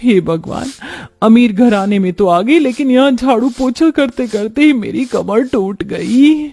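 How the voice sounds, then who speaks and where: A young new bride in a cartoon story, voiced by a man, with a high, plaintive voice. She is dutiful but given to grumbling about housework. Music sits faintly underneath. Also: animated, a little slow, gravelly, a little mellow, heavily acted. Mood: weary complaining.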